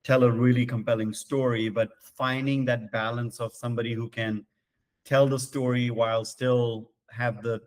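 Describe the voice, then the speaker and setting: An upbeat man hosts a podcast interview and speaks with plain enthusiasm. His voice sits at an ordinary middle pitch. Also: flat delivery, moderate pace. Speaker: podcast host.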